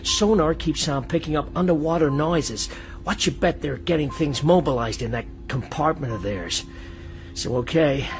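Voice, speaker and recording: An actor playing a gleeful, excited ally in a radio drama, talking in an animated, breezy, slangy way. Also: male voice, on the high side, moderate pace, lightly acted. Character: excited, gleeful male ally reporting over the action. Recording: music faintly behind.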